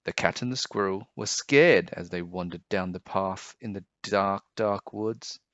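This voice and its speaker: A man reading a children's storybook aloud in an expressive, dramatic way. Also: low voice, a little slow, dry recording, dull audio.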